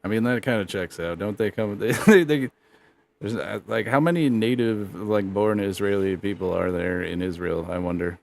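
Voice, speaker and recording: A man's voice: fairly low pitch, moderate pace, creaky, a little hiss or hum. A man co-hosting a live stream in casual, joking, profane talk, laughing often. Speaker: podcast co-host.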